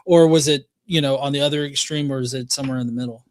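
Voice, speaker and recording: A man hosting a casual interview, feeling his way through meandering questions in a halting, hesitant manner. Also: mid-range voice, fairly even intonation, no echo, studio quality. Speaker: podcast host, interviewer.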